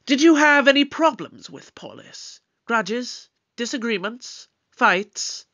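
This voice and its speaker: Man acting a high-voiced, commanding detective Doctor. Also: lively intonation, slow, sharp tone, heavily acted. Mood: probing. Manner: theatrical, formal, authoritative. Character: eccentric, commanding alien Doctor leading a murder inquiry. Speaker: actor in an audio drama. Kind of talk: scripted audio drama acting.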